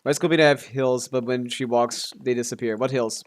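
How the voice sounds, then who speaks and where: A man's voice: mid-range voice, fairly even intonation, a little fast. A man giving casual live game stream commentary, thinking aloud as he talks through his options.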